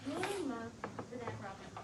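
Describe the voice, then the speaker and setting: Blunt teenage daughter, echoey and distant. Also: female voice, a little high, big pitch swings, normal speed. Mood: exasperated, blunt. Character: blunt, exasperated teenage daughter challenging her dad. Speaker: actress at a script table read. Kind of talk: sitcom script read aloud. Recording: echoey, very noisy room, distant mic.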